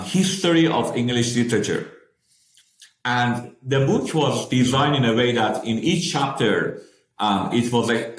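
Iranian-born man, halting testimony. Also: mid-range voice, reverberant, poor audio. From Iran. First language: Persian. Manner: halting, many ums and restarts. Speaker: guest. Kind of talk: personal testimony in an interview.

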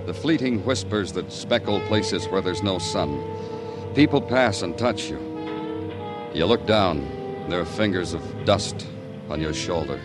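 Slow, deep, brooding radio detective. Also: male voice, expressive. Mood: brooding, solemn. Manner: poetic, solemn, deliberate. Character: brooding, weary male police detective narrator. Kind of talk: 1950s radio drama narration. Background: music, guitar.

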